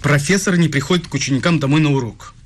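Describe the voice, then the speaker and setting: A man's voice: a little high, fast, close to an everyday voice. An actor playing an affectionate, easygoing young male student in a radio play, talking in a teasing, coaxing way. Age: young adult.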